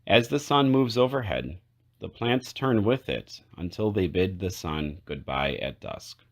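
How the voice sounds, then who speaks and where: A man narrating a children's picture book aloud, phrase by phrase in a measured way with clear pauses. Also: low voice, lively intonation. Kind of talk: read-aloud storybook narration.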